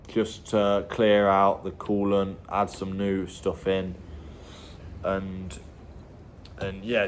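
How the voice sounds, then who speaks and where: A man with a slight cold talking through a car repair in a halting, self-correcting way as he works. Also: on the low side, a little hiss or hum. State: has a bit of a cold. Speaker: home mechanic. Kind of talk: casual step-by-step repair commentary.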